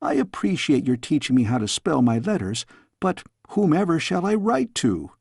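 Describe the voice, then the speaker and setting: Man voicing a pert, high-pitched young princess. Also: very animated, normal speed, gravelly, a little mellow, breathy edge, dry recording, clean studio sound, heavily acted. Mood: eagerly curious. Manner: eager, pert, dramatic. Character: headstrong, inquisitive young princess girl. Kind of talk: storybook character voice.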